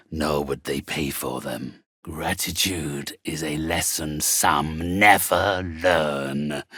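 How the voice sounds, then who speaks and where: A sinister undead necromancer for a video game, deep and very slow. He speaks with pompous, coldly polite menace, his gravelly voice husky and close. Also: bright, forceful tone, dry recording, heavily acted. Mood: condescending. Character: sinister, haughty undead male necromancer trading in spirits. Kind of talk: scripted video game character acting.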